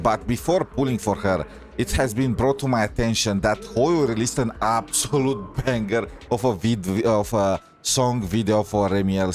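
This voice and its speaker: Low-voiced man casually streaming a game. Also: a little mellow. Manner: informal, hesitant, thinking aloud. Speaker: streamer reacting to game content. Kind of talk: casual gaming commentary. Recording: music playing behind the voice.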